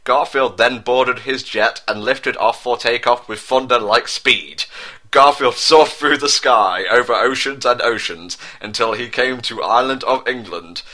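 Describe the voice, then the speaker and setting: A man's voice: mid-range voice, unhurried, bright, forceful tone. A man reading a story as mock-dramatic narration, bombastic and openly amused, breaking off for casual, sweary asides. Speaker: reader of a comedic dramatic reading.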